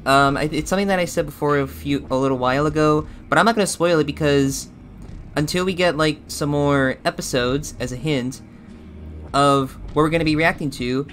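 A man's voice: fairly high pitch, fairly quick, a bit bright, lightly acted. A man voicing an eager, deferential cartoon shadow bear sidekick in hesitant, improvised banter. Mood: agreeable. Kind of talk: improvised character reaction banter.

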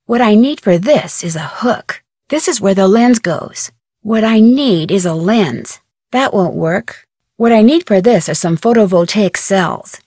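An actress playing a capable young female detective in a video game, speaking in a measured, friendly, matter-of-fact way. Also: medium pitch, animated, a little mellow, slightly rough, no room sound, studio quality. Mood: thoughtful, practical. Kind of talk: video game voice acting, scripted instructions and remarks.

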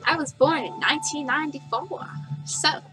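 A woman's voice: high-pitched, normal speed, over music, rough recording. Chatty young woman rambling into a webcam. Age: 20s. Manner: chatty, giggly, rambling with many fillers. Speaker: vlogger. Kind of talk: casual facts-about-me vlog. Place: bedroom, indoors. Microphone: webcam at desk distance.

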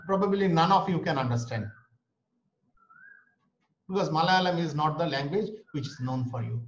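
An Indian man with an Indian English accent teaches an online class, his high voice jumping up and down as he states each idea and then says it again. He talks into a webcam mic in a small room, and the sound is muffled and roomy. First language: Bengali. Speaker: lecturer. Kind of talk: online lecture. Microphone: webcam or laptop mic on a video call. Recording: roomy, dull video-call audio.

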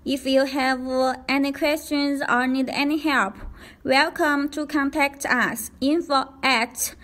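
A woman reading a scripted product tutorial in a careful, deliberate way as a demonstrator. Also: high-pitched, fairly even intonation, a bit bright.

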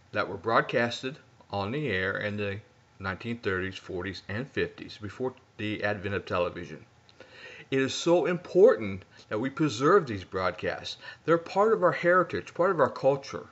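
Middle-aged man, emphatic pleading pitch to camera, very lively intonation, dull lo-fi webcam sound. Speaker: internet radio broadcaster. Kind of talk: earnest scripted plea to camera. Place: small room at home, indoors.

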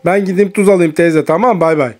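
A man's voice: sits high, a little fast. A man in his thirties play-acting as a father in an improvised children's skit, urgently instructing a child. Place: indoors in a home.

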